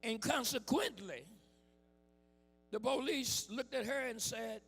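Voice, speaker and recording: A hoarse man preaching an impassioned sermon to a men's gathering, calling for responses and shouting his points. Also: extremely high pitch, takes it slowly, big-room sound, soft background music. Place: church hall with music behind.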